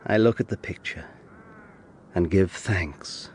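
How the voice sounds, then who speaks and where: An earnest young lawyer of the eighteenth century, with a lowish voice. He tells his haunted story in a grave, intense audio drama style. There is clear noise behind him. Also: slow pace, a little mellow. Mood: solemn, reverent. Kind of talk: dramatic first-person audio drama narration.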